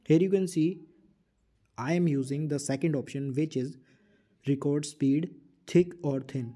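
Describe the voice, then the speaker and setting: A man's voice: a little high, unhurried, warm tone. A man hosting a casual app tutorial, explaining things plainly step by step and repeating himself. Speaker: tutorial host.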